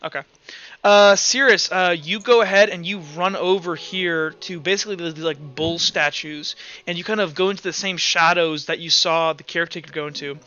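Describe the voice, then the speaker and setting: Male game master, bright forceful voice, halting improvised narration and rules calls. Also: high voice, highs cut off. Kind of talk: narrating and refereeing a tabletop roleplaying game.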